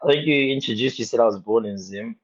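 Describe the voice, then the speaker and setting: A man's voice: mid-range voice, fast, husky, highs cut off. A man who grew up in Zimbabwe, a guest on a podcast, chatting casually and teasing the hosts. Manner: joking, playful teasing. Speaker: guest musician.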